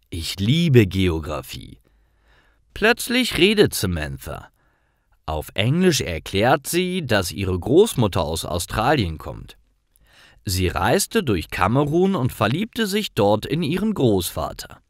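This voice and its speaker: A man narrates a scripted story for language learners at a very unhurried pace. His phrases are short and carefully separated, and his intonation moves widely up and down. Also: medium pitch, no echo, studio quality.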